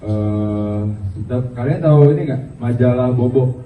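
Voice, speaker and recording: A man, doing halting, filler-heavy stand-up at an open mic and slipping in English phrases. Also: medium pitch. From Indonesia. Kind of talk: stand-up comedy. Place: small open mic venue, indoors. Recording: roomy, distant, tinny phone-like audio.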